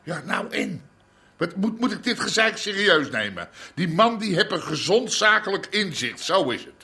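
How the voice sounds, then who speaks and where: An actor playing a blustery, foul-mouthed middle-aged underworld boss with an Amsterdam accent in a radio drama. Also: male voice, high-pitched, very animated, moderate pace, sharp tone, exaggerated voice. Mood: impatient, dismissive. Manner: swearing, blustery, coarse. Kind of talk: naturalistic radio drama acting.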